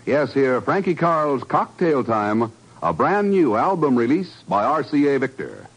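An American man announcing in 1950s radio style, with formal, measured, emphatic phrasing. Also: medium pitch, lively intonation, rough recording. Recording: vintage radio broadcast recording.

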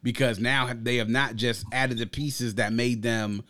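Guy hosting a music review chat, medium pitch, thinks out loud and explains his takes at length. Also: fairly even intonation, measured pace. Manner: reflective, explains through extended analogies. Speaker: host of an album review discussion. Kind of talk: opinionated conversational music commentary.

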